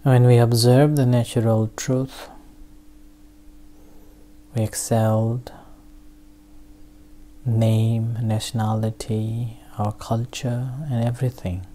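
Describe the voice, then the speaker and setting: Meditation guide: unhurried, halting delivery in short phrases, soft dark tone, mid-low pitch. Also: level tone. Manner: halting, with long pauses between short phrases. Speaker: meditation teacher. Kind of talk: guided meditation, slow spoken instruction.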